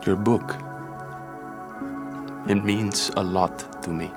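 An actor playing a melancholy, awkward businessman with a hesitant, halting delivery in an intimate audio drama. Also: low-pitched, steady tone. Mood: wistful, confessional. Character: melancholy, awkward businessman confiding his past. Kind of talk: intimate audio fiction acting. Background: music.

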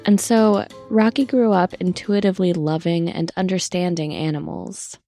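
Gravelly-voiced woman hosting a podcast. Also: fairly low pitch, fairly even intonation, normal speed, mellow, soft background music. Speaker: podcast host. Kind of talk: interview and scripted narration.

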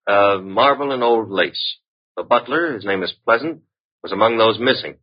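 Husky, wisecracking radio detective's sidekick. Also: male voice, fairly low pitch, lively intonation, normal speed. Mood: wry. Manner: breezy, wry banter. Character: wisecracking, flirtatious male private detective's assistant. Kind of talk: old-time radio detective acting. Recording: dull, muffled vintage radio recording.

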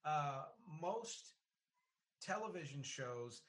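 A man in a conversational interview, talking in an analytical, halting way with emphasis on key words, as a podcast host would. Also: fairly high pitch, lively intonation, a bit bright. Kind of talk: conversational interview about music and film.

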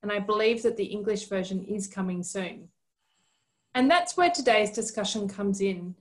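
A woman hosting a podcast, reading a formal introduction and asking interview questions in a clear, measured way. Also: medium pitch. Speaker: podcast host.

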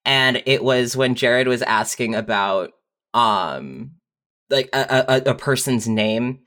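A young adult speaking casually and candidly, telling personal stories in a halting way with frequent repeated words. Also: mid-range voice, a bit bright. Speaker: podcast guest writer.

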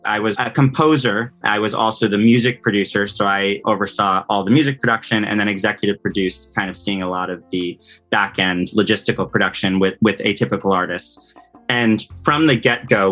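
A man from New York state talks as a podcast guest. His voice sits fairly low but has a bright, crisp edge. He explains things earnestly in a conversational style, with faint music underneath. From New York state, United States. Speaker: podcast guest, composer and audio producer. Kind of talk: conversational interview.

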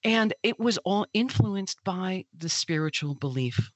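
A woman's voice: fairly low pitch, animated, measured pace, dry recording. A woman with a Midwestern accent, a guest author on a podcast interview, explaining her subject at length in an easy, informal way. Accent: Midwestern American.

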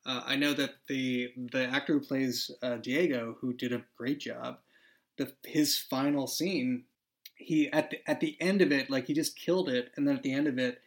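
A man's voice: medium pitch, steady tone, normal speed. Guy with a mid voice, earnest and thoughtful, chatting. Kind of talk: casual chat.

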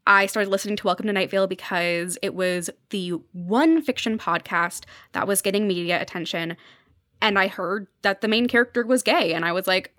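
A young woman with a medium-pitched voice speaks as a guest in a podcast interview. She explains her points conversationally and with enthusiasm. The sound is dry, with very little room in it. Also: moderate pace, a bit bright, no echo. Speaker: podcast guest, journalist covering fiction podcasts.

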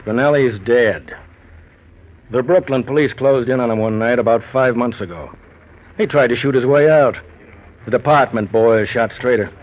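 Actor playing a sardonic, world-weary radio police detective. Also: male voice, mid-range voice, lo-fi sound, natural voice. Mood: dry, knowing. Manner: dry, clipped, unhurried. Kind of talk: 1940s radio noir acting. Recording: band-limited 1940s radio with music.

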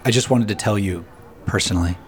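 A man's voice: lowish voice, quick pace, a little hiss or hum, natural voice. An actor playing a polite, awkward young Quaker man in an audio drama, speaking hesitantly and apologetically. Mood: apologetic, awkward.

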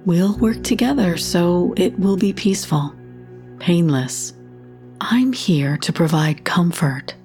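A woman playing a calm, careful end-of-life helper in an audio drama, gentle and matter-of-fact. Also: on the low side, takes it slowly, soft background music. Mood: soothing, firm. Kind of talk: full-cast audio drama acting.

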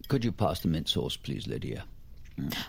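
An actor playing a dry, stubborn middle-aged English father who needles quietly, gravelly and husky, in an audio drama. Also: a bass voice, big pitch swings, normal speed, slight background noise, heavily acted. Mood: wry, matter-of-fact. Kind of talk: naturalistic audio drama acting.

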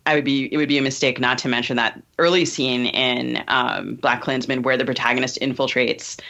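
Bright, thoughtful woman on dull recording. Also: extremely low pitch, level tone, gravelly. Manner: halting, hedging, thoughtful. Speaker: podcast host. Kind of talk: casual analytical discussion.

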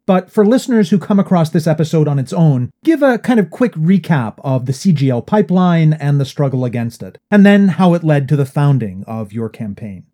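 Male host, slightly high, lively pitch, mellow tone; conversational. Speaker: podcast host. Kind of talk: interview question.

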